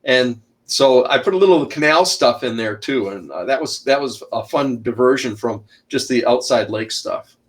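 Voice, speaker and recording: A middle-aged man, an author and shipwreck researcher, talking loudly as a guest in an online interview and packing in detail with many restarts. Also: moderate pace. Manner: loud, booming voice, packs in lots of detail; rambling, frequent restarts and uh fillers.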